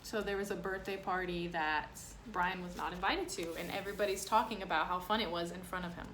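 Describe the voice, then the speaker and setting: A woman's voice: on the low side, fairly even intonation, brisk, fairly bright tone. Woman reading to children, noticeable background noise. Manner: plain, explanatory, child-directed phrasing. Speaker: read-aloud storyteller, teacher addressing children. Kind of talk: reading a picture book aloud with simple explanatory asides.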